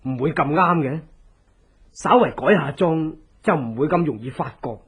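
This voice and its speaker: A calculating schemer speaks Hong Kong Cantonese in a very raspy, fairly high male voice. His delivery is animated, deliberate and persuasive, in radio drama style. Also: heavily acted. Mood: scheming, persuasive. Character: calculating male plotter coaching a woman avenger.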